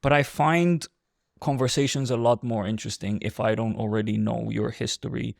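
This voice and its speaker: Gravelly-voiced man hosting a podcast. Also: medium pitch, steady tone, moderate pace, no echo, studio quality. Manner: friendly, laughs often, asks open questions. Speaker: podcast host. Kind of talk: casual interview chat.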